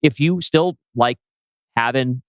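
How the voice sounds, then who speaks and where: A man's voice: mid-range voice, slow pace, clear, resonant tone, dry recording, studio quality. A man co-hosting a podcast in a casual, chatty style. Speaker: podcast co-host. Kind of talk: casual chat.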